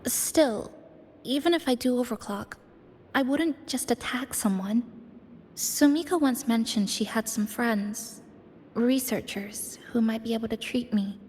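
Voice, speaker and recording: A woman's voice: on the high side, measured pace, a little mellow, a little hiss or hum, close to an everyday voice. A woman voicing a reserved, guarded young game heroine with a hesitant, understated delivery. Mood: uneasy, resolute. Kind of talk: anime game voice acting.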